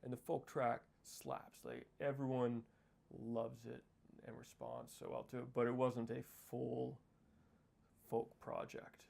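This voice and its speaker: A man hosting a casual interview, encouraging the guest with lots of quick affirmations. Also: mid-range voice, little pitch movement, vocal fry, darkish tone. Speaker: interviewer host.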